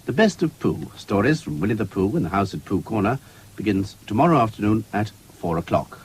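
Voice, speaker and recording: Male continuity announcer, RP: lowish voice, animated intonation, formal scripted phrasing, faintly noisy vintage broadcast. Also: brisk.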